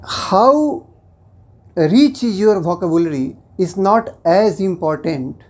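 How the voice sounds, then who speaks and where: A native Hindi speaker teaching English in a slow, deliberate way, explaining phrase by phrase and at times switching into Hindi. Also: medium pitch, a little mellow. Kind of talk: explanatory lesson.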